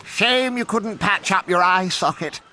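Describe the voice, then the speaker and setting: An actor playing a chatty, flattering guide in a video game, cheeky and a little flustered. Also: male voice, high-pitched, unhurried, slight background noise, heavily acted, rough recording. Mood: fawning, cheeky. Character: flattering, chatty male guide to a seafaring captain. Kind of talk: video game cutscene acting.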